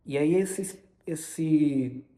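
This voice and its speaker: A young man with a Brazilian Portuguese accent tells a story informally, in a halting way, often repeating and correcting his words. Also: on the high side, warm tone. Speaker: vlogger recounting personal experience. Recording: long reverberant room echo.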